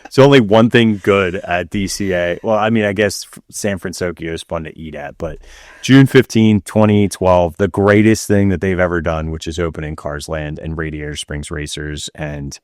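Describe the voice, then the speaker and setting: A man with a low voice chats casually as a podcast co-host. He is slangy and blunt, putting weight on his opinions. The recording is very dry. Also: fairly even intonation, normal speed, no echo. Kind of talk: casual chat.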